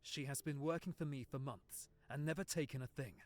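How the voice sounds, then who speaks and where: A man's voice: medium pitch, a little fast, natural voice. A man acting an earnest, protective young prince with regrets, in a visual novel game. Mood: firm, protective. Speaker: game character.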